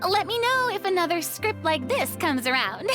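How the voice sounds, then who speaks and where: A woman's voice: extremely high pitch, sing-song, unhurried, exaggerated voice. A woman voicing a polite, cheerful young girl in a video game, chatty and girlish. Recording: music behind the voice.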